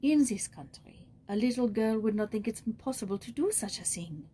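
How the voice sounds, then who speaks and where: A woman's voice: a little high, lively intonation, moderate pace, dark tone, slightly rough, pitched above the performer's own voice. Woman playing a proper, kindly old madame. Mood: gently disapproving.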